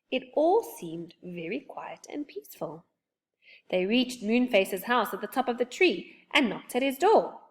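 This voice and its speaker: Expressive woman reading a children's story. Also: on the high side. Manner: expressive, gentle. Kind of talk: reading a children's book aloud.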